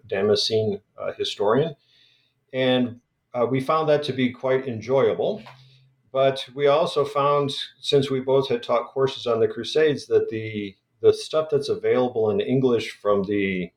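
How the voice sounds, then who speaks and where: Low-voiced man, halting restarts, roomy. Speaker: historian guest. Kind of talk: academic interview.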